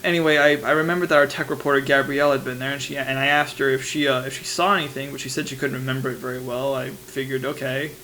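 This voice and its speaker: A man's voice: medium pitch, level tone, quick pace, hardly any pauses, sharp tone, lightly acted. A young man playing an anxious investigative reporter, rambling hesitantly and informally into a private tape diary. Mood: uneasy, puzzled. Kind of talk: analog horror monologue, confessional voice memo. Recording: noisy tape recorder with hum.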